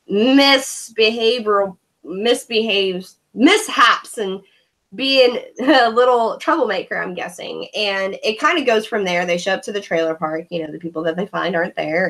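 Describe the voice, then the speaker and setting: Bright, bubbly woman hosting a livestream. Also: mid-range voice. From Korea. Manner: bubbly, sarcastic, chatty. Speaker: host. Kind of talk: casual livestream movie review chat. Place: home, on a video call. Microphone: video call.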